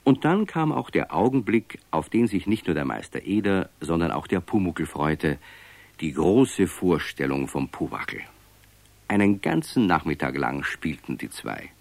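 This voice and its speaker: A man's voice: low-pitched, big pitch swings, unhurried, vocal fry, no echo. A man narrating a scripted children's story as the narrator of a radio play.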